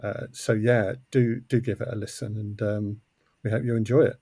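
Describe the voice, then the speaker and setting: A man's voice: lowish voice, flat delivery, moderate pace, gravelly, dark tone, slightly rough, no room sound. A man speaking casually as an interview guest on a podcast. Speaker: guest, audio drama co-creator.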